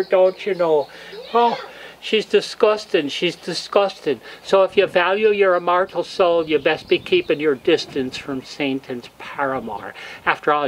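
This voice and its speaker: A woman hosting a comic monologue, gossiping in a pious, scolding way and dropping low for asides. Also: a little hiss or hum, rough recording. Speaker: comedy show host.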